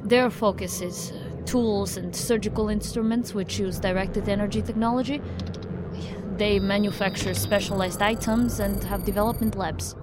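An actress playing a serious, focused federal agent in an audio drama, earnest and businesslike. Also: female voice, mid-range voice, steady tone, normal speed, background noise, lightly acted. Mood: thoughtful.

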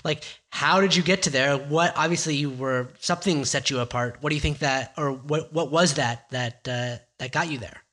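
A man's voice: fairly high pitch, moderate pace, hardly any pauses, a bit bright. A man hosting a podcast, introducing the show with upbeat energy and asking long, rambling questions. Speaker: podcast host.

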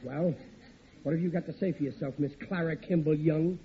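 A man's voice: on the high side, steady tone, a little fast, crowd noise, close to an everyday voice. An actor playing a blustering, suspicious middle-aged working-class father with an American accent in vintage radio sitcom style. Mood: indignant. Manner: blustering, indignant, ungrammatical speech. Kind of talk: 1940s radio situation comedy acting. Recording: noisy, tinny vintage radio recording.

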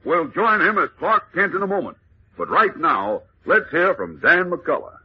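Male announcer, dramatic serial narration, slightly high, animated pitch, muffled vintage radio recording. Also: moderate pace, slightly rough. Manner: dramatic, emphatic delivery. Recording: muffled old radio, faint noise.

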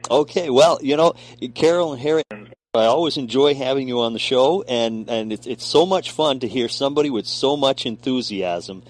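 Male radio host with a mid-range voice, warm with his guests. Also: medium pitch, moderate pace, a little hiss or hum, poor audio. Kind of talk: radio interview.

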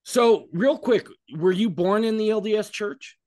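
A man's voice: high voice, a little slow, a little mellow, no echo, studio quality. A man hosting a podcast, chatting casually and rambling, repeating words as he gathers his thoughts. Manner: rambling, self-deprecating, repeats words while thinking. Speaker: podcast host. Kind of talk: casual interview chat.

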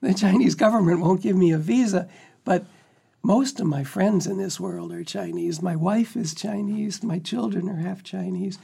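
A man's voice: high-pitched, moderate pace, gravelly, dark tone, slightly rough. A man, one of the book's editors, speaking earnestly and reflectively in an interview. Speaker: book editor. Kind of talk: conversational interview.

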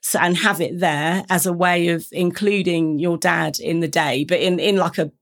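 A woman's voice: lowish voice, steady tone, moderate pace. A woman from Reading with a British English accent, speaking reflectively as a podcast guest, often restarting her phrases. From England, Reading. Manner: warm, reflective, with frequent restarts and 'you know'. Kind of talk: conversational podcast interview.

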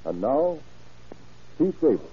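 A man's voice: mid-range voice, big pitch swings, takes it slowly, husky. Formal old-time radio announcer, muffled man. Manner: formal, declamatory. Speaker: announcer and narrator. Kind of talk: 1930s radio announcing, scripted sponsor pitch.

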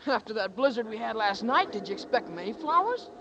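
A brash bystander hands out wisecracks in easy slang. Her voice is high and swoops all over. The tone stays breezy and offhand. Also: very animated, brisk, muffled recording, noisy background. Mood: wry. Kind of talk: 1940s radio acting.

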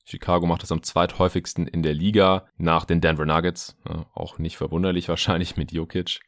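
A low-pitched man delivers conversational basketball analysis as a podcast host. He explains things informally, with casual asides, and the recording is very dry. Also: no echo. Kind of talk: conversational sports analysis monologue.

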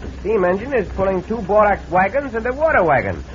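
An actor playing a gallant, good-humored cowboy hero with formal phrasing in an old radio western. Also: male voice, high-pitched, very animated, fairly quick. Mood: alert. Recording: muffled, noisy vintage radio recording.

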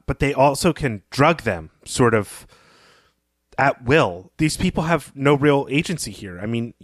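A man with a mid-range voice works through a piece of fiction as a podcast co-host. He is analytical and measured, explaining his reading point by point. The sound is dry and close, with very little room in it. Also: medium pitch, no room sound. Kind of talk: literary discussion of a story.

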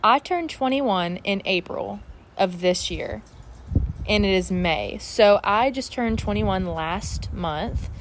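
A woman's voice: medium pitch, animated, takes it slowly, poor audio. A twenty-one-year-old woman talks openly and casually to her listeners, leaning on "like" and "uh" as she goes. She sits outside in her backyard, with faint background noise around her voice. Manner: chatty and candid, many fillers like "like" and "uh". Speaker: podcast host. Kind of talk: casual solo podcast chat.

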